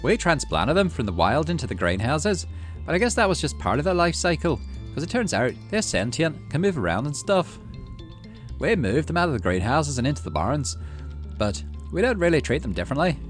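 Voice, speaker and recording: A man's voice: on the high side, sing-song, brisk, faint music behind, pitched above the performer's own voice. A man voicing a cheerful, folksy farmer dad in a visual novel, chatting and explaining things to his child. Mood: enthusiastic. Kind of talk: visual novel character read aloud.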